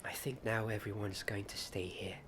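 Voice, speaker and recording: A man playing a welcoming wizard-school teacher in an ASMR roleplay, speaking softly and a little haltingly. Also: on the low side, flat delivery, lightly acted. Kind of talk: soft-spoken ASMR roleplay. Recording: clear ambient noise behind the voice.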